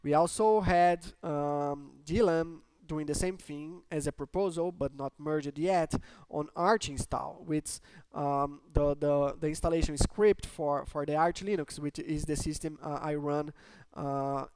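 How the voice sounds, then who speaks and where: A man's voice: fairly high pitch, steady tone, measured pace, a little mellow, clear, resonant tone, no room sound. A man from Brazil speaking English with a Brazilian Portuguese accent, explaining tech topics in a hesitant, filler-heavy casual talk. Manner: hesitant, many fillers and restarts. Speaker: tech channel host.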